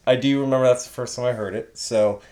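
A man's voice: medium pitch, fairly even intonation, fast talker, dark tone. A man co-hosts a laid-back sports podcast in a Chicago accent with a slight Polish tinge. He keeps things light, teasing his partner and firing off curious questions. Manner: playful, joking, asks lots of questions. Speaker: podcast co-host. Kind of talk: casual sports chat.

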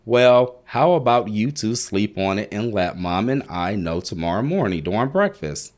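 A man's voice: lowish voice, sing-song, moderate pace, darkish tone. A man voicing a cheerful father in a children's story he reads aloud. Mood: kind.

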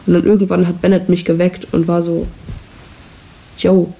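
Teen girl podcast host, self-deprecating rambler; low, flat, fry-heavy voice on a cheap hissing mic. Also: normal speed. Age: teens. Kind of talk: casual solo chat. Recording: noisy cheap microphone with hiss.

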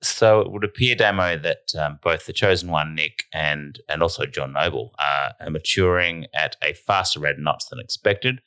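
A man co-hosting a podcast, deadpan and a bit nasal, telling a mock-serious tall tale. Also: a bass voice, moderate pace, hardly any pauses, smooth, no echo. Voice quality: nasal at times. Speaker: podcast co-host. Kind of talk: casual podcast banter.

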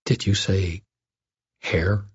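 A man voicing a stern, wry investigator wizard in an audiobook, speaking in a quiet, measured and threatening way. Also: deep voice, steady tone, slightly rough, dull audio, exaggerated voice. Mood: incredulous, grave. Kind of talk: audiobook first-person dialogue.

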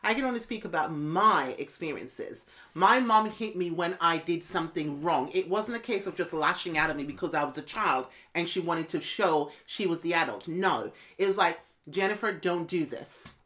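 A woman's voice: lowish voice, expressive, normal speed, few pauses, fairly bright tone. A woman in a candid conversation, arguing her point assertively and firmly. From Jamaican family background. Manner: assertive, argues her point, quotes her mother.

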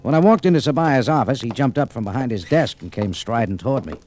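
An actor playing a tough, wry American cafe owner in a radio adventure, with clipped, sardonic, hard-boiled delivery. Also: male voice, medium pitch, lively intonation, fast, a little mellow, soft background music, lightly acted. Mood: tense, hard-boiled. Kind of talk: 1940s radio noir acting with first-person narration. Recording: noisy old radio recording with clicks.

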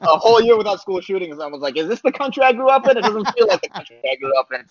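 A man's voice: sits high, expressive, very fast, no room sound, highs cut off. A man who is a comedian, trading sarcastic jokes in a casual podcast chat and laughing as he talks. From United States. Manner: joking, laughs mid-sentence, sarcastic asides.

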